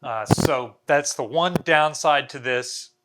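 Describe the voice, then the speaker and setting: A man's voice: medium pitch, slow, noisy background. An American man in his thirties giving an informal explainer to camera as a host, casual in manner with wry, dry humour. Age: 30s. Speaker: museum video host. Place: small bare indoor room.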